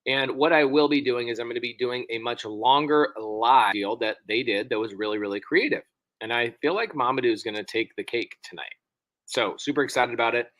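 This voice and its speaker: An enthusiastic man hosts a casual interview and leans into every point with eager energy. His voice is bright and forceful, and it sits in the middle range for a man. The close studio microphone gives a dry sound with no room around it. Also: mid-range voice, brisk, dry recording, low-quality recording. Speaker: host. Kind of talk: casual interview over a video call.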